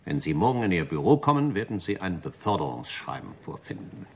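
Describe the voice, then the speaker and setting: An actor in a German radio play playing a composed senior businessman, dryly polite and measured. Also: sits low, very animated, darkish tone, slight background noise. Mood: confidential. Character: composed, confidential senior businessman, suave boss.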